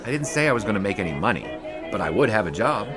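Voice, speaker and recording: Young 1920s sportswriter, wordy and teasing, lively pitch, music underneath. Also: male voice, fast. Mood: playful. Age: young adult. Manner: wordy, ornate narration; teasing banter. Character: wry young male 1920s college sportswriter. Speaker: student sports writer. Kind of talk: 1920s radio drama.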